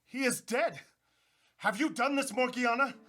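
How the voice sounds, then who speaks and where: An actor playing an honorable, easily indignant master of a house in a radio play, with music underneath. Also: male voice, extremely high pitch, unhurried, bright, forceful tone, heavily acted. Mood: alarmed, indignant.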